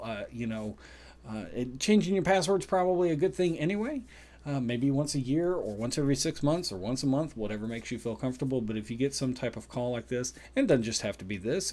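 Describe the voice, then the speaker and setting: A man's voice: medium pitch, darkish tone. Man giving casual, emphatic advice. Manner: conversational, emphatic, repeats points for stress. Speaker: video host. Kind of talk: casual advisory vlog talk to camera.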